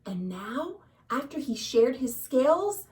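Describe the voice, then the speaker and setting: Children's librarian storytime read: woman, high voice, unhurried, dramatic delivery aimed at young listeners. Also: big pitch swings, a little mellow, distant mic. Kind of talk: expressive picture-book read-aloud for children. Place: at home.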